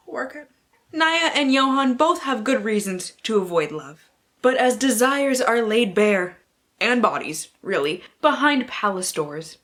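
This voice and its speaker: A woman's voice: on the high side, fairly bright tone. A woman book vlogger who mixes casual, slangy chat with mock-dramatic blurb reading and playful, sweary asides to herself.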